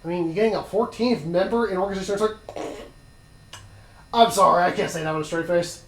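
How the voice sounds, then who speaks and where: A man's voice: high-pitched, brisk, fairly bright tone. A man giving casual, explanatory gaming commentary as part of a let's play. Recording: roomy, echoing sound.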